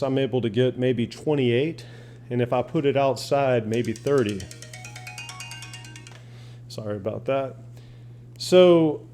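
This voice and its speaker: Mellow-voiced man, casual and folksy. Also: medium pitch. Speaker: shortwave radio hobbyist asking viewers for advice. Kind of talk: casual unscripted demonstration and chat.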